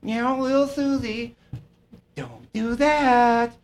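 A man's voice: very high voice, fairly even intonation, slow, a little hiss or hum, voice pushed up. A man imitating a doting parent who speaks to a small child in sing-song baby-talk. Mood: cooing.

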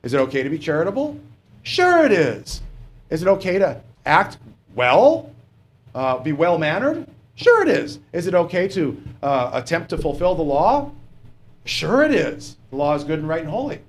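A middle-aged man preaches in a plain, unpolished way, with a slightly high voice. He stops often on fillers and throws rhetorical questions at his listeners. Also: very animated, normal speed. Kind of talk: sermon.

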